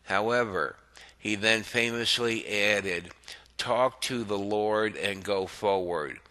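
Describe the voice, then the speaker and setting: A man reading an article aloud as the host of a religious commentary channel, in a deliberate manner. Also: on the low side, pushed and bright.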